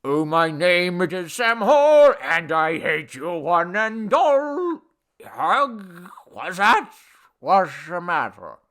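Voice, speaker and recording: A man voicing a tipsy, boastful old Babylonian idol god from a story, with a slurred, booming, folksy drawl. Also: very animated, slow, a bit bright, heavily acted. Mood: drunken singing.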